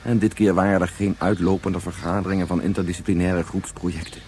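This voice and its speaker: An actor playing an anguished, regretful scientist of great age in a Dutch science fiction radio play, speaking haltingly and turning back on his own words. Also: low voice, little pitch movement, darkish tone. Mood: brooding, regretful. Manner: halting, repetitive, ruminative. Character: anguished 177-year-old scientist, brooding, regretful father.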